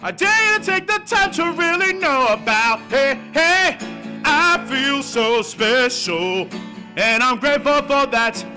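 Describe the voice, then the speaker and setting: A woman performs on a stage mic in a small indoor venue, leading the crowd in call and response. Her voice is very high and strikingly bright. A guitar plays faintly behind her. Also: expressive, slow pace. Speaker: singer performer.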